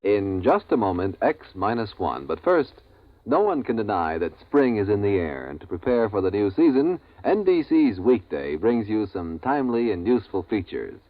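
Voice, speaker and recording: Lowish-voiced, lively vintage radio announcer man. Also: normal speed, no echo. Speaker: network announcer. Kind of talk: 1950s radio promo announcing, scripted. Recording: tinny narrow old radio recording.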